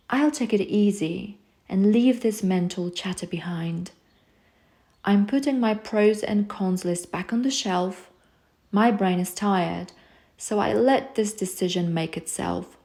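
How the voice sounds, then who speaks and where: A woman reading rhyming self-help poems aloud in a soft, soothing, unhurried way. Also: mid-range voice. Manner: soft, soothing, slow recitation. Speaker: poetry reader.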